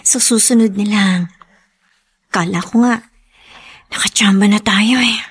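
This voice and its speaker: Husky, weary young Filipino wife. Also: female voice, mid-range voice, fairly even intonation, a little slow. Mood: evasive, weary. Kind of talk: radio drama acting.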